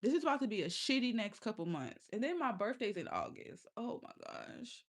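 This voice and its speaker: A woman with a lisp and a fairly high voice, hosting a relaxed stream. She talks straight to her chat in a loose, profane way. She laughs easily between her words. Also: brisk. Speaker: livestream host. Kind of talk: casual livestream chat with viewers.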